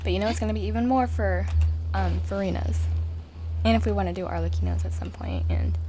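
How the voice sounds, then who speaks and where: A woman's voice: medium pitch, wildly swooping pitch, brisk, dark tone, a little hiss or hum. A woman giving casual gameplay commentary as a streamer, in an informal, rambling way. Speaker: gaming streamer. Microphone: microphone with furry cover. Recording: game music behind.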